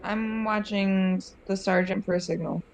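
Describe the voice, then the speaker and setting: A woman's voice: mid-range voice, fairly even intonation, moderate pace, vocal fry, slight background noise. A woman playing in a tabletop roleplaying game, talking casually and apologetically. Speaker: player.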